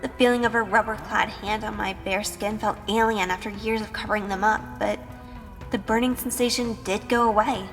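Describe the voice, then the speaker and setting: A woman's voice: mid-range voice, fairly even intonation, moderate pace, big-room sound, lightly acted. A woman playing a timid, sheltered young woman in an audio drama, hesitant and anxious. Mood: uneasy, reflective. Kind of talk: audio drama acting and first-person narration. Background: music.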